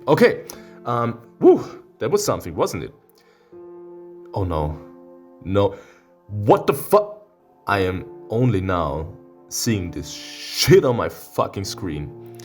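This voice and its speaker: Male let's player, deep register, big dramatic exclamations and swearing, music playing behind. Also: big pitch swings, takes it slowly, long pauses. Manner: theatrical, exclamatory, swearing reactions. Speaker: streamer playing a visual novel. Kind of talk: let's play commentary and read-aloud.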